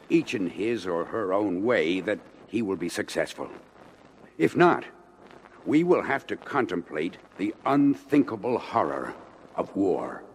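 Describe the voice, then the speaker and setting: An actor playing a grave, dignified space-colony minister giving a formal, measured speech on an audio adventure record. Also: male voice, low voice. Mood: somber. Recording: vintage LP with music behind.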